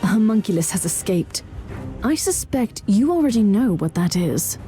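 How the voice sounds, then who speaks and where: A woman's voice: medium pitch, very animated, normal speed, dark tone, soft background music, natural voice. An actress playing a stern, zealous woman inquisitor in a video game. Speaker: inquisitor, player character.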